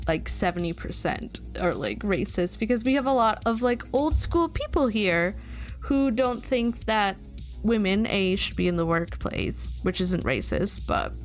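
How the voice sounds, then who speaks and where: A woman's voice: mid-range voice, big pitch swings, measured pace, faint music behind. A middle-aged woman from Canada hosting a casual streamer interview, chatty and giggly, reading chat messages aloud.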